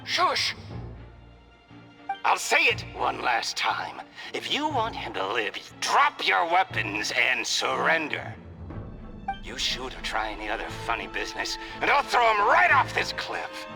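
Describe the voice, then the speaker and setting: An actor voicing a theatrical villain holding a hostage, with a gravelly, booming delivery. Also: wildly swooping pitch, measured pace, exaggerated voice. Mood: menacing. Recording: game scene with loud music.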